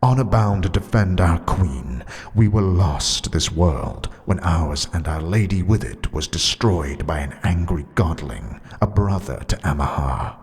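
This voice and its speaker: A man plays a towering skeleton guard, dutiful and ancient. The voice sits very low and unhurried, and each formal, archaic line rings out through a long hall reverb. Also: lively intonation, a little mellow. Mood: solemn.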